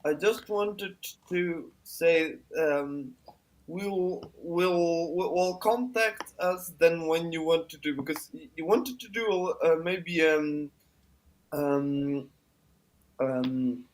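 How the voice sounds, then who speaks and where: A man calling into a live stream who chats casually in a halting way, repeating words and filling pauses with "um". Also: fairly high pitch, fairly bright tone, low-quality recording. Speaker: regular caller. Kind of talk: casual call-in chat.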